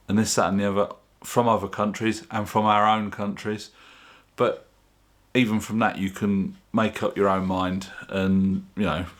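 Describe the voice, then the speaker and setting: A man with a fairly low voice hosts a homemade video. He explains things casually, in an informal and plain-spoken manner, as if chatting straight to the viewer. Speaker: video channel host.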